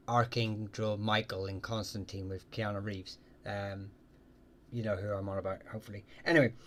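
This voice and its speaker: A man hosting a live question-and-answer stream, talking casually and haltingly with many ums and restarts as he answers viewers. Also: lowish voice.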